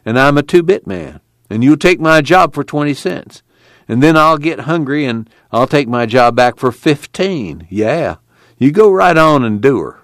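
A man voicing a bitter, weary male migrant farmhand with a rough, folksy rural drawl. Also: mid-range voice, expressive, normal speed, no echo, studio quality, voice pushed up, lightly acted.

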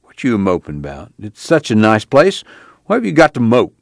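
A man voicing a weary, ashamed farmer father with a slow rural drawl, far deeper than his own voice. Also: huge pitch swings, creaky, no room sound, studio quality, full character voice.

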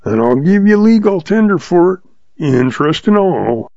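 A man voicing a wily old male cowboy with a calm, slow Western drawl. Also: sits high, gravelly, no room sound, dull audio, voice pushed up, heavily acted. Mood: calm, sly.

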